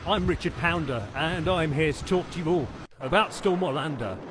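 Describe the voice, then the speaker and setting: A man playing a TV news anchor covering a storm disaster, halting and stumbling over his words. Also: natural voice. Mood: grave, halting. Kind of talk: amateur mock breaking news report. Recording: noisy, windy background rumble.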